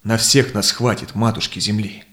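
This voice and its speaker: An actor playing a steadfast, conciliatory Cossack envoy in a verse audio drama, speaking in measured, persuasive declamation. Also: male voice, fairly low pitch, fairly even intonation, fairly bright tone, close to an everyday voice. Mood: reasoning, persuasive. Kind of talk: theatrical verse drama acting.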